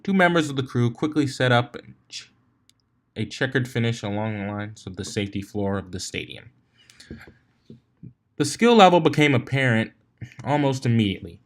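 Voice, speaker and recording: Male amateur narrator for story readings: mid-pitched, occasionally tripping over words and retrying. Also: very animated, normal speed, long pauses. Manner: amateur reading with stumbles and retries. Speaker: fan fiction reader.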